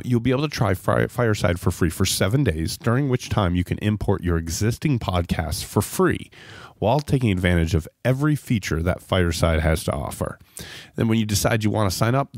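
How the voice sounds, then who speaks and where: Low-pitched male podcast host, dry sound. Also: darkish tone, dry recording. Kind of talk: ad read and interview chat.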